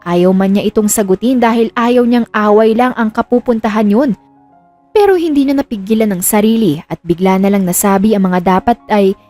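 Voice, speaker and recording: A woman's voice: mid-range voice, darkish tone, dry recording. A woman reading a scripted romance story as a dramatic storyteller.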